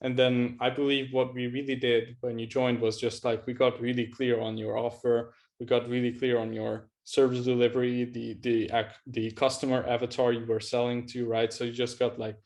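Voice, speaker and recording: A man hosting a casual online interview, speaking haltingly with repeated words and lots of "you know". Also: mid-range voice, level tone, a little mellow. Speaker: host, agency coach interviewing a student.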